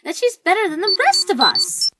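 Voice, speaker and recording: A fussing, reluctant mother acted by a woman with a very high, swooping voice. She sounds distant, under loud noise, as in a radio play. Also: sing-song, moderate pace. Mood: reluctant, concerned. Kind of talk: audio drama acting. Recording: distant, very noisy with clicks.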